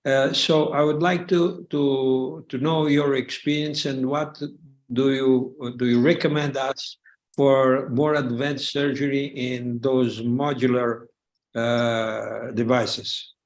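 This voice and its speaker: Slow, halting man asking a question. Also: medium pitch, level tone. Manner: halting, searching for words, repeats himself. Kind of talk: webinar question.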